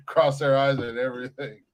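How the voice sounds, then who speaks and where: A man's voice: medium pitch, flat delivery, unhurried. A man hosting a comedy podcast, bantering casually, laughing often and rambling with fillers. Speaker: podcast host.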